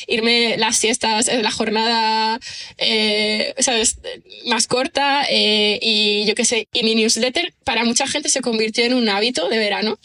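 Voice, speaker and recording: A chatty woman from talks easily as a podcast guest. Her voice sits a touch high and rings bright. She strings thoughts together with fillers and tag questions. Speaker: guest writer. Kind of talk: relaxed podcast conversation.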